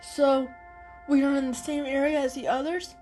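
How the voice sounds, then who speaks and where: A woman voicing a tiny, innocent male kitten, excitable and anxious, in a storybook reading. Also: high voice, moderate pace, soft background music, voice pushed up, close to an everyday voice. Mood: anxious, curious.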